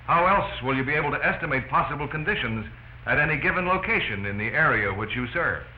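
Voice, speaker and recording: A man playing an earnest American fire officer instructs his crews in a 1940s training film. His pitch moves around in a lively way. The soundtrack is dull, with faint noise. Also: fast talker, natural voice. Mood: earnest, instructive. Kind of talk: scripted 1940s training film lecture to camera. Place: small indoor office set.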